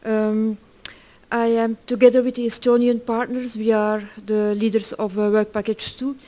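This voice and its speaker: Mature presenter, medium pitch, halting and self-correcting delivery, phrasing, informal conference talk. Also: flat delivery, dark tone. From Belgium. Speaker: project work package leader, conference presenter.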